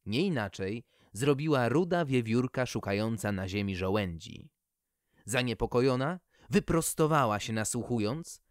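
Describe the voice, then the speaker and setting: A narrator reading a scripted children's story in an expressive, measured manner. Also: medium pitch, no echo, clean studio sound.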